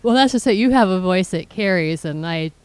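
A woman co-hosting a podcast in casual chat, speaking quietly and softly. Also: on the low side, animated, brisk, clear, resonant tone, no echo. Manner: quiet, soft-spoken. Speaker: podcast co-host.

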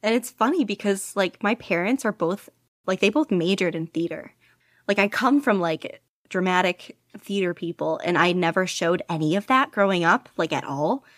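A woman's voice: fairly low pitch, expressive, moderate pace, no echo, studio quality. A woman guest on a casual podcast interview, talking eagerly and laughing often. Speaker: podcast guest, audiobook reader.

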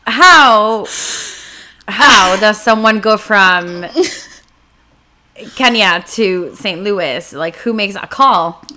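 A woman co-hosting a podcast, reacting with animated disbelief in casual chat. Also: medium pitch, very slow, pauses often. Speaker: podcast co-host.

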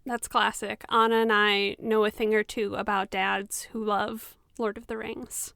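A woman's voice: mid-range voice, little pitch movement, unhurried, gravelly, a bit bright, dry recording, studio quality. A woman co-hosting a podcast in casual chat, enthusiastic and chatty as she talks with her guest. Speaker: podcast co-host.

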